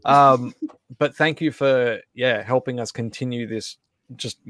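A man's voice: mid-range voice, measured pace, fairly bright tone, no echo. Man hosting a casual stream, dry sound. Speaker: live stream host. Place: indoors at home on a group video call.

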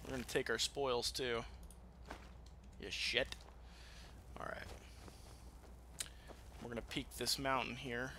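A man's voice: mid-range voice, flat delivery, slow pace, pauses often, pushed and bright, a little hiss or hum. A man giving casual, rambling let's play commentary, with some swearing. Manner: rambling, profane. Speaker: gaming video host.

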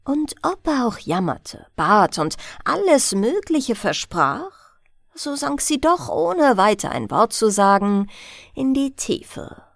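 A woman reading a bedtime fairy tale in a soothing, expressive storytelling manner. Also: a little high, takes it slowly, creaky, no echo, studio quality. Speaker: audiobook narrator.

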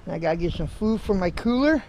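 A man's voice: high voice, animated, quick pace, dark tone. A man in his fifties, a trucker vlogging casually to viewers from his home, driveway and truck cab, talking in a rambling, folksy way. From Ohio, United States. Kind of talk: casual vlog talk to camera. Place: farmhouse kitchen, driveway and truck cab in cold weather. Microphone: handheld action camera. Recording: noisy handheld camera audio.

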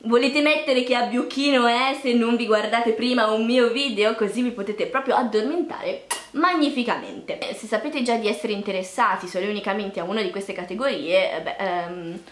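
A woman's voice: fairly high pitch, moderate pace. A woman chats casually to camera as a vlogger, playful and self-deprecating. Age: early 20s. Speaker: YouTube vlogger. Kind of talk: casual vlog talk to camera. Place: small indoor room with bare walls. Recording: echoey untreated room.

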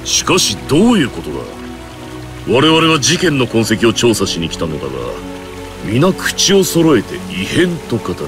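A man's voice: medium pitch, sing-song, normal speed, lightly acted. An actor voicing a proud, authoritative warrior king in a Japanese fighting game, declamatory and stern. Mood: grave. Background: background music.

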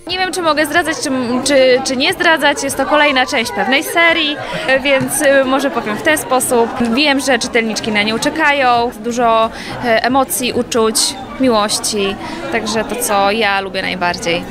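A woman's voice: sits high, measured pace, music in the background. Hesitant woman talking amid crowd noise. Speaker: author interviewed about her book. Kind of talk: spontaneous interview answer. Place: public book fair. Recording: busy crowd noise at book fair. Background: crowd chatter.

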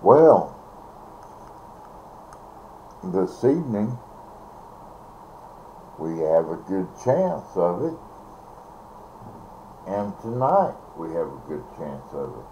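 Slow, deep older American man. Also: big pitch swings, highs cut off. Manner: unhurried, halting, teasing. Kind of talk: casual chat to viewers. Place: small bedroom, indoors. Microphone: computer mic at close range. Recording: faintly noisy home computer recording.

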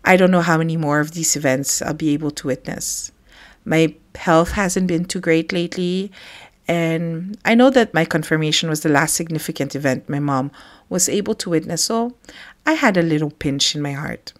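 A woman's voice: low voice, moderate pace. A middle-aged woman from the Philippines, hosting a podcast, telling a personal story in a chatty, reflective way with light laughs. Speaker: podcast host.

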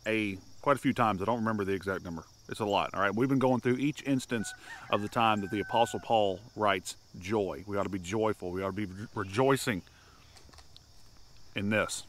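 A man's voice: lowish voice, fairly bright tone, a little hiss or hum. Folksy guy in his 30s doing a morning sermon out in a field, says howdy and y'all, crickets going. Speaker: homestead video preacher. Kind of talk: casual sermon to camera. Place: outdoors in a grassy field at dawn. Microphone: camera at chest height, talking straight to it.

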